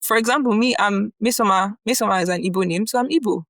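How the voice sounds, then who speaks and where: A young Nigerian woman with a Nigerian accent, co-hosting a podcast in a chatty, animated way, often laughing and filling with "like" and "you know". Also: medium pitch, fast talker, no room sound. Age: young adult. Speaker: podcast co-host. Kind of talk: casual chat.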